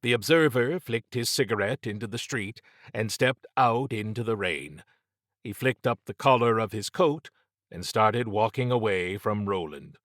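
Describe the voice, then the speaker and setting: A man's voice: on the low side, lively intonation, normal speed, a bit bright, no echo. A man reading scripted dramatic fiction as an audiobook narrator, close to the microphone.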